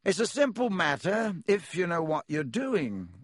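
Man as self-important show-off, loud, pompous, high voice, breezy, dry audiobook sound. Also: very animated, a little slow, no echo, heavily acted.

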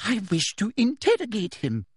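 A man voicing a falsely sweet, menacing female headmistress who turns shrill and girlish when angry, in a dry close recording. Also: wildly swooping pitch, normal speed, slightly rough, dry recording, full character voice. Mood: shrill furious.

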